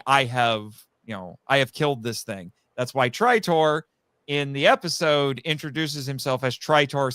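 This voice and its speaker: Man, bright forceful voice, clean tone, dry sound, casual podcast guest, eager rambling explainer. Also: medium pitch, smooth, no echo. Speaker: podcast guest, audio drama writer. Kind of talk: animated casual fan discussion.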